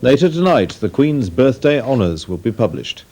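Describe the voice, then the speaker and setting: A man with a British accent reading scripted news in a measured, formal way. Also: medium pitch, sing-song, dark tone. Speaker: newsreader.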